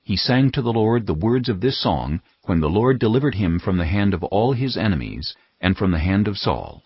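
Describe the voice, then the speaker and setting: A man with a low voice narrates scripture solemnly, as in an audio drama. His intonation rises and falls expressively. The sound is a little muffled. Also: sing-song, moderate pace, breathy edge. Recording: dull audio with music behind.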